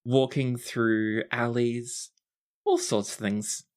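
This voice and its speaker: A man's voice: mid-range voice, fairly even intonation, slow pace, natural voice. Man playing a bubbly, courtly young princess. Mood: conspiratorial, playful. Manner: formal courtly phrasing, effusive. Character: bubbly, earnest young princess with a mischievous streak.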